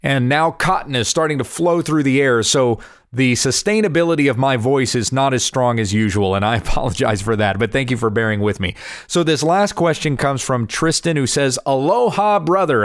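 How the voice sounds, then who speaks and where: Man in his forties, slightly hoarse, earnest Bible teacher, dry close sound. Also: mid-range voice, lively intonation, moderate pace, no room sound. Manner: earnest, explanatory, reads scripture aloud. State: slightly hoarse, voice cracking from allergies. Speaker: Bible teacher answering viewer questions. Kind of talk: casual Bible teaching video. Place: home in Kansas.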